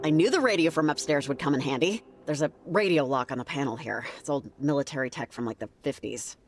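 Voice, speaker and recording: A sarcastic young female explorer in a video game, with a notably low voice. She talks in an understated, natural conversational way, skeptical and curious. Music plays behind her. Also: quick pace. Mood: curious, focused. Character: dry-witted, skeptical young woman exploring a cult's hideout.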